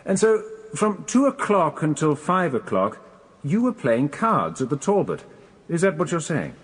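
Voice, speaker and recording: A man's voice: on the high side, wildly swooping pitch, measured pace, slightly rough, heavily acted. A man playing a polite, slightly hesitant British defence barrister in a radio courtroom drama, formal and probing. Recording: reverberant courtroom-like hall.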